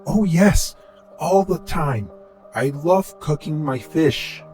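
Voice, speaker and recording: A man voicing a strange, eager lost wanderer who speaks in an overly polite, halting way, in a storytelling narration. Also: on the high side, expressive, a little mellow, faint music behind, pitched above the performer's own voice.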